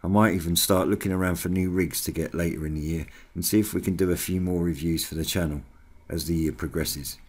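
Deep-voiced British man, hobby channel host. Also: steady tone, fairly quick, hardly any pauses, darkish tone. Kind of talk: scripted voice-over update.

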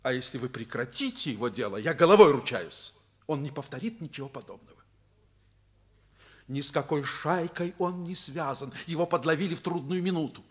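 An actor playing a stern but passionate middle-aged school director, earnest and insistent, in a Soviet radio drama. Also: male voice, a little high, expressive, brisk, natural voice. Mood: earnest pleading. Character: stern, passionate male school director defending his pupil.

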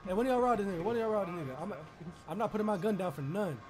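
A man's voice: high voice, animated, racing, music in the background. A man streaming an online role-play game, chatting casually in street slang and firing off repeated phrases. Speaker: streamer role-playing a gang member. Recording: noisy gaming headset microphone.

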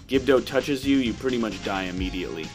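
A man's voice: mid-range voice, a little fast. A man giving casual, matter-of-fact commentary as he plays through a video game. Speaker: gaming video host. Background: game music.

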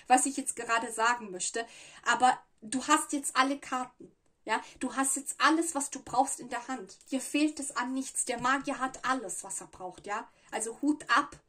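A fairly high, breathy woman talks through a tarot spread to camera. She chats along loosely, pausing to search for words and tossing in little "ja" fillers. It sounds like a small room at a table. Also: pushed and bright. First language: Italian. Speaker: tarot reader. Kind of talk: casual tarot reading to camera.

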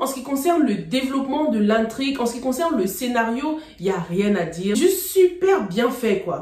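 A woman's voice: on the high side, darkish tone, reverberant. A woman in her thirties from Cameroon with a Cameroonian French accent, talking straight to her viewers in a chatty, emphatic review. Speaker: series reviewer. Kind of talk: casual video review. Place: small indoor room with bookshelves.

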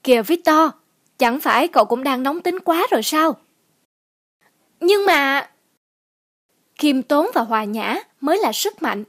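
Woman as humble, high-voiced young boxing champion. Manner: even-tempered, humble. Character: modest, calm, principled young male boxing champion. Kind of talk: cartoon dubbing.

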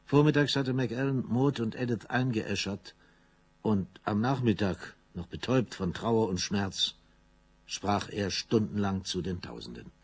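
An actor narrating a radio play as a grave storyteller, in dramatic scripted style. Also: male voice, on the low side, animated, unhurried, breathy edge, full character voice. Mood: grave, intense.

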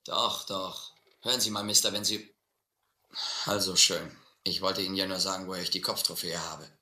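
An actor playing a desperate, educated drifter who drinks hard, rambling and pleading in halting bursts in a radio adventure play. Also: male voice, sits low, flat delivery, bright, forceful tone, roomy sound, full character voice. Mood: boastful, pleading. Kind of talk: German audio adventure drama.

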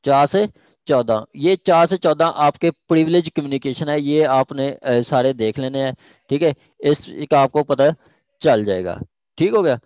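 A man's voice: fairly high pitch, measured pace, darkish tone, no echo. A man with a Pakistani accent explaining a legal text as an informal lecturer, repeating key phrases and switching into English. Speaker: law lecturer.

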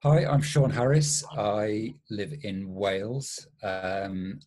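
A male visual artist introducing himself on a video call, halting with many ums. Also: fairly low pitch, darkish tone.

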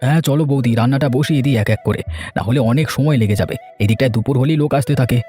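Man voicing quick, mellow, eager young kitchen helper. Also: mid-range voice, soft background music, close to an everyday voice. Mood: eager, friendly. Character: eager, humble young village eatery helper. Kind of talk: cartoon voice acting.

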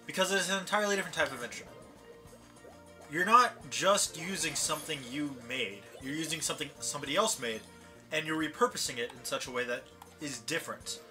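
A man's voice: fairly high pitch, a little fast, pushed and bright. Rambling man chatting over game music. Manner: rambling, laughs often, reads character lines in a lifted voice. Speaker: let's play host. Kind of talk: casual gaming chat with friends.

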